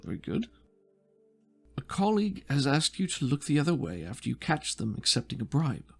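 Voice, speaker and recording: A man narrating a tabletop roleplaying game as game master, setting scenes and prompting the player in a measured way. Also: fairly high pitch, sing-song, gravelly, slightly rough, faint music behind.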